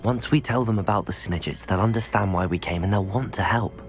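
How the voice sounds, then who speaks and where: An actress playing a young student witch, speaking calmly in a husky voice. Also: very deep voice, fairly quick. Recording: game audio with faint music.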